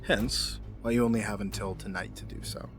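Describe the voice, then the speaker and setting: A man playing a smug, menacing villain in an audio drama, speaking formally and deliberately in a taunting way. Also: fairly low pitch, steady tone, a bit bright, natural voice. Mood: smug menace. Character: smug, menacing villain, coolly coercing a young woman. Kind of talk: scripted audio drama acting. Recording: music behind the voice.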